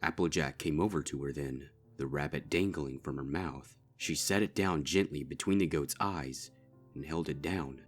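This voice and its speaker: A man giving grave, measured narration of a dark fan story. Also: a bass voice, faint music behind. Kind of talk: dark fanfic audiobook narration.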